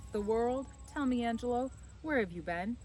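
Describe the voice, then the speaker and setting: A woman voicing an eager, cheeky fourteen-year-old boy from a children's storybook, full of excitable questions. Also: a little high, moderate pace, recorded from a distance, voice pushed up, lightly acted. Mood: teasing. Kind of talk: children's storybook character reading.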